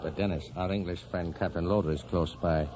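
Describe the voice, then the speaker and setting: Man acting a low-voiced, wary sidekick. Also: steady tone, brisk, natural voice. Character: Irish male sidekick, wary lookout at a market. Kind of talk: old-time radio drama acting. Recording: dull, noisy vintage radio recording, market ambience.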